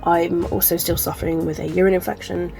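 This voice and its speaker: A woman's voice: lowish voice, flat delivery, brisk, gravelly, dark tone, faint music behind, low-quality recording. A woman chatting casually to camera as a book vlogger, sounding chesty and congested, rambling with lots of ums and likes. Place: at home.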